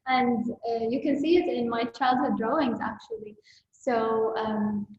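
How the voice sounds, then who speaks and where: A woman's voice: fairly high pitch, fairly even intonation, a little slow. A young woman giving thoughtful, somewhat hesitant answers as a guest artist in a conversational online talk. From born in Ukraine, with a Kuwaiti side. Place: indoor room, on a virtual talk. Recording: boxy echoing room, muffled online call, faint noise.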